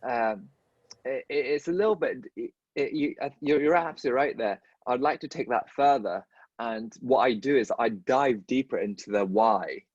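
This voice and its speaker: Husky-voiced man who stutters, lo-fi audio. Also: mid-range voice, normal speed, darkish tone, no echo. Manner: stutters, repeated word starts. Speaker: guest, dentist. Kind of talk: casual conversation.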